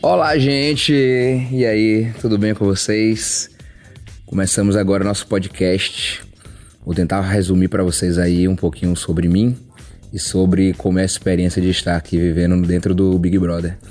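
A man's voice: deep voice, fairly even intonation, normal speed, darkish tone, soft background music. A man in his thirties from Salvador, talking in a casual podcast monologue, open and conversational, with frequent tag questions. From Brazil, Salvador. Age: 30s. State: a bit nervous. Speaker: reality show contestant.

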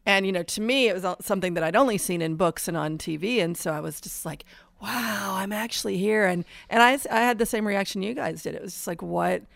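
Gravelly-voiced female radio co-host. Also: mid-range voice, brisk, no room sound. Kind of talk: casual radio chat.

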